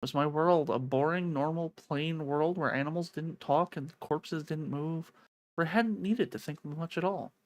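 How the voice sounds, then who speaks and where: A woman's voice: sits low, measured pace. A woman streamer reading a visual novel aloud in a theatrical storytelling manner.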